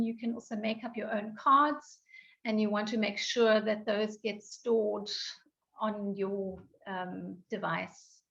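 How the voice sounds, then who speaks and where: A woman giving an online software training, explaining with frequent ums, her voice going croaky. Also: on the high side. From South Africa. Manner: explanatory, many ums and restarts. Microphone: video call. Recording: dull lo-fi online call audio.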